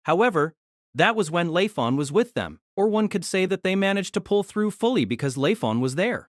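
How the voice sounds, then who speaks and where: A woman's voice: lowish voice, sing-song, normal speed, no room sound, studio quality. A woman narrating a novel in a scripted, dramatic storytelling style.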